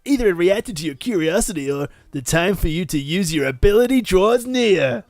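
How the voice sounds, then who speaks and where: Demon fox guide, acted by a woman: instructive, teasing, slightly smug, animated, dry close sound. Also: fairly low pitch, normal speed, no room sound, voice pushed down, close to an everyday voice. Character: teasing demon fox spirit guide explaining game powers.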